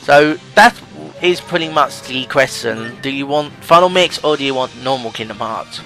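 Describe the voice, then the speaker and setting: A man's voice: mid-range voice, expressive, normal speed, a bit bright. A young man giving casual, rambling and hesitant let's play commentary over game music. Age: young adult.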